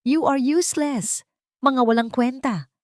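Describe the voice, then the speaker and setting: Woman narrator as raging rich villainess, Filipino accent, high shrill pitch, contemptuous, dry audio drama sound. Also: a little slow, no room sound. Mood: furious.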